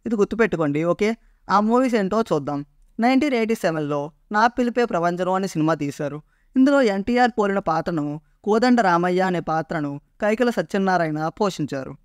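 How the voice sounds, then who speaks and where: Guy narrating an explainer video, high smooth voice, really dry close sound. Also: lively intonation, brisk, no room sound. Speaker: video presenter.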